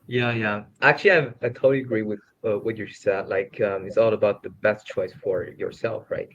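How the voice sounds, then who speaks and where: A man's voice: lowish voice, steady tone, brisk, fairly bright tone. Polite young man from China, dull audio. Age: 20s. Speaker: graduate student panelist. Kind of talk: panel answers on video call. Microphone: video call.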